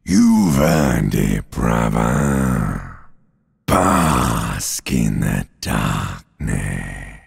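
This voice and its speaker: A man voicing an ancient reptilian brain in a video game, a menacing and seductive inner voice with a guttural, raspy growl, drawn-out and mocking. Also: very deep voice, exaggerated voice. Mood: darkly soothing. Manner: slow, drawn-out, mocking, darkly soothing. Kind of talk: theatrical video game voice acting. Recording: long cavernous reverb.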